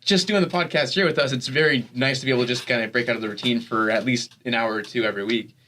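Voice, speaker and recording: A man hosts a casual interview in a studio, talking quickly with a bright, lively tone. He is chatty and jokes with his guest. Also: medium pitch, a little hiss or hum. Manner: joking, loose and chatty. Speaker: college sports podcast host. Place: radio studio.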